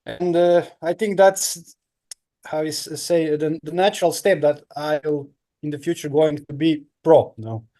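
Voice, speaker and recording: Croatian guy in his 30s, kinda high voice, halting english with lots of uhs. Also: warm tone. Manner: halting non-native English with many fillers. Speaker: guest, professional triathlete. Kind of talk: casual interview answers.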